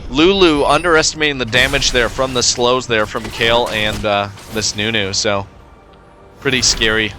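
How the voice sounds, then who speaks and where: A man with a cold, sounding stuffed up, giving relaxed play-by-play commentary over a video game match with frequent fillers. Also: mid-range voice. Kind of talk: casual gameplay commentary.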